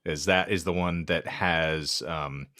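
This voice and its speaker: A man's voice: low-pitched, fairly even intonation, takes it slowly, bright, forceful tone. A man co-hosting a podcast in casual book chat, talking in a wordy, wry way with theatrical turns of phrase. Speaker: podcast co-host.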